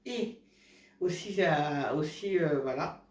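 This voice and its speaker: A man's voice: sits high, expressive, a little mellow. A man with a cold, chatting casually to camera at home at night, hesitant, often restarting and correcting himself. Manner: halting, many restarts and self-corrections. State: congested with a cold. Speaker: vlogger giving home remedy tips. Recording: roomy, from a distance.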